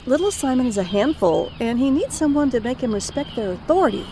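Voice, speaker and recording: A weary, lonely mother, acted by a woman in an audio drama. Her voice is slightly high with lively intonation, over faint background noise. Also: quick pace. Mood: confiding, resigned.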